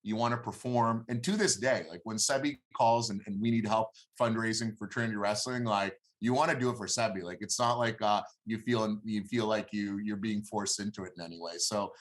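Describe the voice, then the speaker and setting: A man in his thirties whose first language is Hebrew, answering interview questions in a halting, conversational way, repeating words as he thinks aloud. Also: fairly low pitch, fairly even intonation. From born in Israel, lived there until seven. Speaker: interview guest, former college wrestler.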